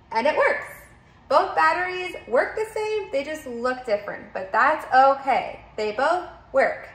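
A woman in her thirties talks through a product demonstration in a casual, instructional way. Her voice sits fairly high and often falls into vocal fry. She explains each step simply and tends to repeat herself. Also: sharp tone. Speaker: product reviewer. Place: indoors, open kitchen and dining room at home.

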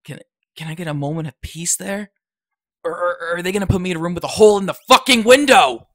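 A man's voice: sits high, fast talker, a bit bright, dry recording, studio quality. A young man playing a defiant, sarcastic, foul-mouthed son in an audio drama. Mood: sneering, bitter. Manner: sneering, sarcastic.

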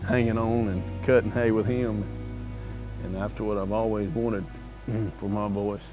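A low-voiced Southern man chatting folksily in a farm profile video, with music playing underneath. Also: level tone, measured pace, rough recording. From Arkansas, United States. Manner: folksy, plainspoken. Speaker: farmer. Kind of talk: casual interview storytelling. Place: outdoors in an open pasture.

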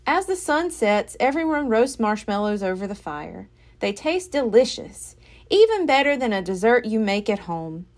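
A woman reads a children's picture book aloud. Her voice sits fairly high and swings widely in pitch, giving the narration an expressive, animated shape. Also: normal speed. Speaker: storybook reader.

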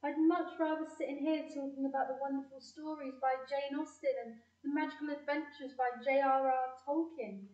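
A woman's voice: high-pitched, steady tone, normal speed, few pauses, darkish tone, natural voice. A woman playing an imaginative, plucky, bookish girl in an audio play. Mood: earnest. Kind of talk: audio play narration and dialogue. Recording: echoey room, distant, dull sound.

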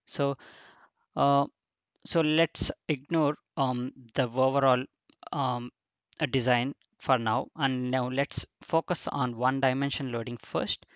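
A man's voice: mid-range voice, steady tone, takes it slowly, dry recording. Guy explaining a tutorial step by step, lots of uhs, dry dull desk mic. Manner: explains step by step with frequent fillers and restarts. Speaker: instructor. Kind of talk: screen-recorded software tutorial.

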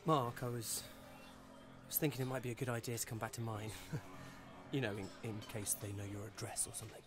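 A man's voice: medium pitch, steady tone, quick pace, over music, close to an everyday voice. An actor playing a nervy, blunt British man under suspicion, stammering and colloquial in a naturalistic audio drama. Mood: awkward, uneasy.

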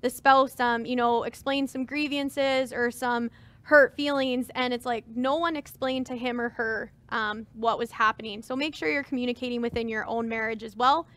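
A woman's voice: on the high side, steady tone, moderate pace, a bit bright. A woman in her thirties on a webinar panel, giving earnest advice about planning with many fillers. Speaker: farm transition advisor. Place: stage with dark curtains.

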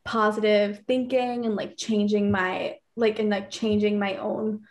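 A woman's voice: medium pitch, little pitch movement, unhurried. A young woman on a video call from her bedroom, speaking with many fillers and occasional stutters. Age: young adult. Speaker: teen advisory council member. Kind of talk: casual panel discussion on video call. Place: bedroom at home, indoors. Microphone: laptop webcam on video call.

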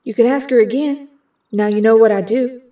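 A sympathetic, straight-talking young heroine, played by a woman in an audio drama. Her voice is fairly high yet mellow, heard a little off the mic. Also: a little fast, recorded from a distance, natural voice. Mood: sympathetic, reluctant. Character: frank, sympathetic young female mutant hero.

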